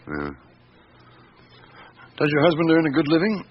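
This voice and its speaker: An actor playing a patient, probing police chief inspector in a radio drama, with terse and unhurried questioning. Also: male voice, fairly high pitch, wildly swooping pitch. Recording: dull, noisy old radio recording.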